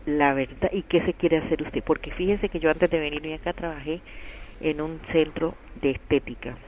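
A woman's voice: low voice, fairly even intonation, normal speed. A woman with a Colombian accent, a shop employee answering a call politely and formally. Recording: muffled phone line with faint noise.

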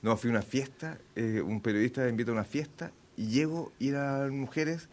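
A Chilean man, co-host of a comedy talk show, telling self-mocking stories with a deadpan touch. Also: medium pitch, fairly quick. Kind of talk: comic banter.

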